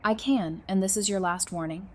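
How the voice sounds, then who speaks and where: A woman's voice: medium pitch, moderate pace, darkish tone, lightly acted. A woman playing a tender but determined wife in an apocalyptic audio drama, earnest and plainspoken. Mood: firm, defiant. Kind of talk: full-cast audio drama acting.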